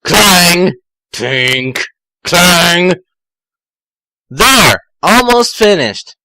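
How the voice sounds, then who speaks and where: A man voicing an excitable, easily alarmed young male fox inventor in a fan fiction reading, exclaiming in a strained voice. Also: high voice, very slow, no echo, voice pushed up, exaggerated voice. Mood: eager, busy.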